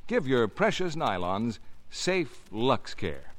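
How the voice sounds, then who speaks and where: A man's voice: mid-range voice, sing-song, slow pace. An American man announcing in a scripted 1950s radio advertising style. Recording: vintage 1950 radio recording.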